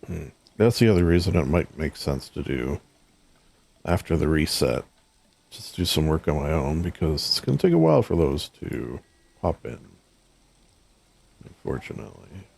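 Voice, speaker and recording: A hoarse man mumbling to himself as he plays a game, thinking aloud and trailing off, speaking close to a desk mic at home. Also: rumbling low, very animated, normal speed, long pauses, darkish tone. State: hoarse, losing his voice. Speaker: gaming streamer.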